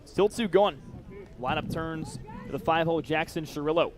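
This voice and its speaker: Higher-pitched man calling baseball play-by-play. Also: moderate pace, crowd noise. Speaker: play-by-play announcer. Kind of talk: live baseball play-by-play commentary.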